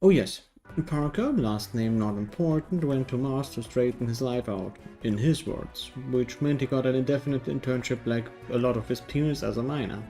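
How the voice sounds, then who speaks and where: A man's voice: medium pitch, normal speed, warm tone, faint music behind. A man narrating a scripted video game review in a wry, tongue-in-cheek way.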